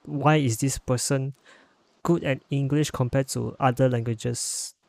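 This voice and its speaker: A young man with a Malaysian accent, co-hosting a podcast in a relaxed, thoughtful way with some hesitation. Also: medium pitch, a little slow, creaky, no echo. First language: Chinese. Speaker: podcast co-host. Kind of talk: casual chat.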